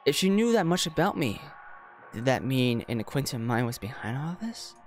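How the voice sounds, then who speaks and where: A man's voice: mid-range voice, very animated, brisk. A man reading a visual novel aloud as a streamer, breaking off for slangy, jokey reactions. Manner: slangy, jokey asides between read lines. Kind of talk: reading game text aloud with casual reaction commentary. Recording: music behind the voice. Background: music.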